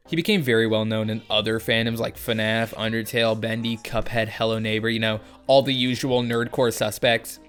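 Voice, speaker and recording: A man's voice: mid-range voice, fairly bright tone. Sardonic man doing casual video commentary. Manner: sardonic, joking, frequent swearing. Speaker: video essay host.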